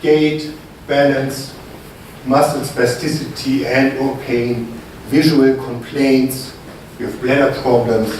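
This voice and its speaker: A man with a strong German accent gives a science talk at a conference, mid-pitched and earnest. He often pauses to ask the audience "okay?". He is heard from a distant mic in a very echoey hall with a faint murmur of noise behind him. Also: fairly even intonation, darkish tone. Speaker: research scientist lecturer. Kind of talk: conference lecture.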